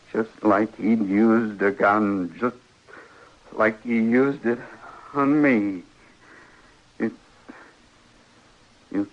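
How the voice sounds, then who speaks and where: Fixated rustic frontier man, old radio. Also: lowish voice. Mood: weak, dying. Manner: earnest, repetitive, rustic speech. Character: obsessed lovelorn simple frontier man carrying a vengeful rope. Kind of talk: old-time radio western acting. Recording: dull, lo-fi old radio recording.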